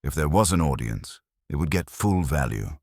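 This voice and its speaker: A man reading a scripted first-person detective story in a wry, deadpan way. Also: extremely low pitch, moderate pace, no echo, clean studio sound.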